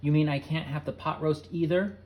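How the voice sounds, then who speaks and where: A man's voice: on the high side, steady tone, fairly quick, a little mellow, voice pushed up, natural voice. A man voicing a greedy, big fat female dog who sobs and whines. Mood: whining. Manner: sobbing, whiny.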